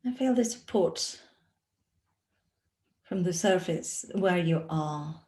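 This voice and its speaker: A woman leading a guided meditation as a yoga nidra teacher, speaking in a soothing, instructional manner with long pauses. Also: on the low side, animated, dark tone. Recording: roomy, echoey sound.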